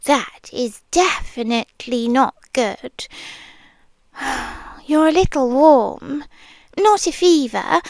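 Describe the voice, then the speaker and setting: Brief: British woman as a creepy-sweet obsessive nurse, high voice, very slow whispered delivery, close and dry. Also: dry recording, heavily acted. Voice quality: soft, breathy whispering. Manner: slow, teasing, sweetly controlling. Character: obsessive possessive young female nurse. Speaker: ASMR roleplay performer. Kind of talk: F4M ASMR roleplay. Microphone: close mic, speaking into the ear.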